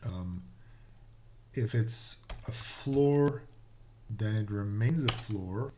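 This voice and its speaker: Slow, halting man thinking aloud. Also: fairly low pitch, mellow, a little hiss or hum. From Netherlands or Dutch-speaking Low Countries. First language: Dutch. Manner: halting, musing, trailing off mid-thought. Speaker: programmer live-streaming a coding session. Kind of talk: thinking aloud while coding. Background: computer keyboard typing and clicks.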